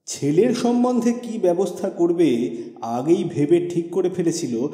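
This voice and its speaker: A man narrating a literary story as an audiobook, with expressive, theatrical delivery. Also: sits high, a little slow. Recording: echoey reverberant voice over music.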